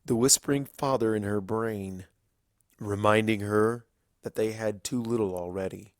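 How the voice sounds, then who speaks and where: A male narrator with a fairly low voice reads a dramatic story. He goes slowly and gravely, pausing for weight, in a dry recording with little room sound. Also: no room sound. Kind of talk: dramatic scripted fiction narration.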